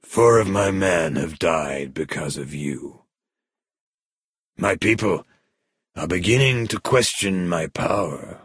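Man voicing male villain, crime boss, deep, slow, soft-spoken menace, cold, calm, commanding, audiobook thriller. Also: breathy edge, dry recording, studio quality, full character voice.

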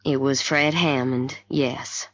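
A woman voicing a steady, kindly male doctor in an audiobook mystery, calm and frank in manner. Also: rumbling low, highs cut off, exaggerated voice. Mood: grave, gentle. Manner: calm, deliberate, reassuring.

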